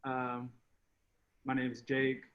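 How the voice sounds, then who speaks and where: A man's voice: mid-range voice, monotone, drawn-out words. A man in his twenties on a video call, talking casually with lots of fillers. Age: 20s. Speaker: focus group participant. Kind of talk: casual discussion. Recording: muffled video-call audio.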